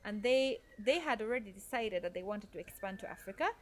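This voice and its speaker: A woman's voice: mid-range voice, expressive, brisk. Thoughtful woman from Norway recounting her path. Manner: thoughtful, with frequent fillers and restarts. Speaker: guest. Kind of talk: conversational interview storytelling.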